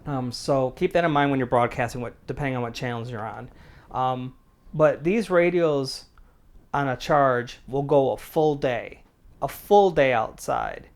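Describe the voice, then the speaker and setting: A man's voice: mid-range voice, measured pace. A middle-aged man hosting a casual product review, talking in a plain-spoken, conversational way. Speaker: prepper channel host and product reviewer.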